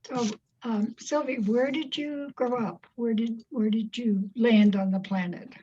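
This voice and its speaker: Mellow, slightly husky woman hosting an interview. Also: fairly high pitch, fairly even intonation, a little slow, lo-fi sound. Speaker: interview host. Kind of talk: conversational interview.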